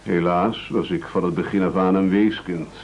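An actor playing a pompous, self-pitying castaway chief who wheedles and orates in a theatrical radio play. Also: male voice, low voice, steady tone, normal speed, dull audio. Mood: pompous, indignant. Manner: bombastic, oratorical, self-pitying.

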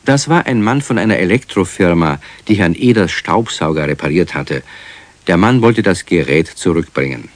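A man narrating a children's radio play as a clear, measured storyteller. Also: sits low, expressive, dry recording.

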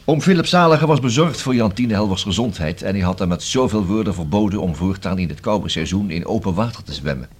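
A male actor in a Dutch radio play as a probing, self-assured police inspector who questions deliberately and dryly, with a husky voice. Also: fairly low pitch, animated. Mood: dry, certain. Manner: deliberate, rhetorical questioning with emphatic repeats.

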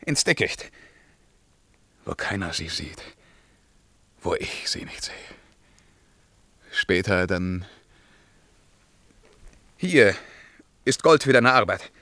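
An actor playing an anguished, imperious ruler in a verse radio drama, declaiming between whispers and loud commands, with a creaky voice. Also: male voice, deep voice, very animated, slow, pauses often, dry recording, exaggerated voice. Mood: imperious, threatening. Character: anguished, imperious male ruler mourning his dead beloved.

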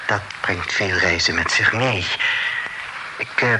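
A stiff, hesitant gentleman. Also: low voice, slow. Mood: earnest. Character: formal, hesitant young gentleman fond of French words. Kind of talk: radio drama acting. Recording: noisy old radio broadcast.

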